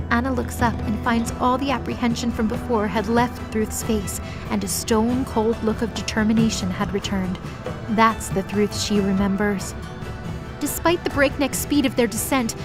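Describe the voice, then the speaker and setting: A woman narrating a scripted audio drama in the present tense as its storyteller. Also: on the high side.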